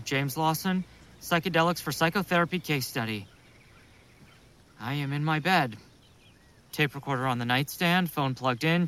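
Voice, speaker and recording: A man's voice: on the high side, fairly bright tone. An actor playing a paranoid, sardonic man unravelling as he records a log of his psychedelic self-experiment in an audio drama. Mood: clinical, resigned. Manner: agitated, muttering, sardonic. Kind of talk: audio drama monologue, spoken case-study log.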